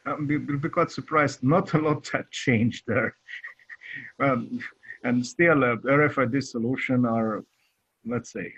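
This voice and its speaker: A man with a Romanian accent, a guest developer answering interview questions in halting speech full of hesitations. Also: mid-range voice, fairly bright tone, husky, no room sound, highs cut off. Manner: halting, many hesitations and restarts.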